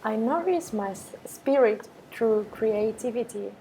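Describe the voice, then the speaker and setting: A woman meditation teacher guiding affirmations in a calm, measured way with pauses between phrases. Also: a little high, a little hiss or hum, rough recording. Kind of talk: guided meditation with repeated affirmations.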